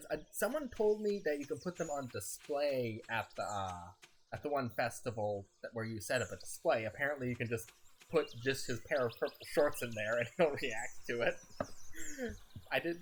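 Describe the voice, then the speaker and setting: A streamer chatting casually while playing, rambling and laughing often, a little sick with a scratchy throat. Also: medium pitch. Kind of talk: casual gameplay chat.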